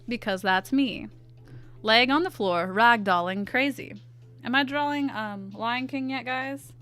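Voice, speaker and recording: A woman with a stuffy nose, chatting casually with her viewers in quick asides while she draws on stream. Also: on the high side, fairly bright tone, soft background music. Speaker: art streamer. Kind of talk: casual chat while drawing.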